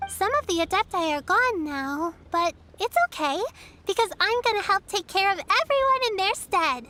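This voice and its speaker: A woman's voice: very high-pitched, sharp tone, heavily acted. A woman voicing a cheerful little girl in a video game, with an earnest, childlike way of telling things. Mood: wistful, earnest. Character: cheerful little girl, apprentice of a wise master.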